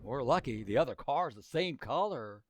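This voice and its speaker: An actor playing a goofy, carefree, boyish teenager in an old-time radio comedy style. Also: male voice, mid-range voice, expressive, moderate pace, slight background noise, natural voice. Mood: indignant. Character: goofy, carefree teenage boy, clumsy driver.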